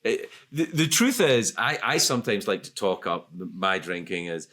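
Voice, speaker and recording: A man in his sixties, hosting a candid conversation, talking in a reflective, halting way full of restarts. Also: on the low side, sing-song, pushed and bright. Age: 60s. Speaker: podcast host.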